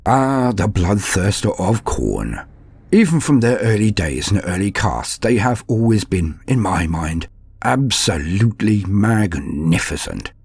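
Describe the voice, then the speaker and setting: A man with a gravelly, husky voice hosts a fantasy lore show, narrating in a dramatic, portentous and formal manner. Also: lowish voice, big pitch swings, a little slow, darkish tone, no room sound. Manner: theatrical, portentous, formal diction. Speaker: host of a fantasy lore channel.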